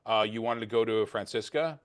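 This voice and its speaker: A man's voice: lowish voice, fairly quick, no room sound. A man co-hosting a video call, praising and thanking the guests. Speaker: co-host.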